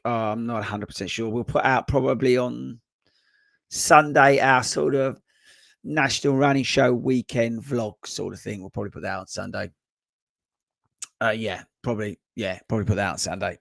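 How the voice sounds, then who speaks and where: A man, a running coach, hosts a live-stream Q&A in a hoarse voice that is only just coming back, chatting loosely with many fillers. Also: mid-range voice, fairly bright tone, dry recording, clean studio sound. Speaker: running coach and podcast host. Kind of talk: casual live-stream chat. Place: home desk.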